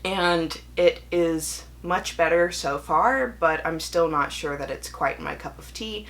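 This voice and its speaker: A young woman with a fairly low-pitched voice talks as a book reviewer hosting her own video. Her delivery is casual and conversational, candid and chatty, and she corrects herself as she thinks aloud. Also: slow pace, fairly bright tone. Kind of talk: casual chatty vlog monologue.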